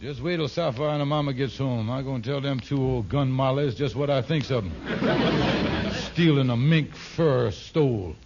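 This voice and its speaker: An actor playing a blustering, scheming husband in 1950s radio comedy, speaking in folksy, nonstandard dialect. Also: male voice, medium pitch, normal speed, darkish tone, lightly acted. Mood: scornful. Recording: dull, hissy 1950s radio recording.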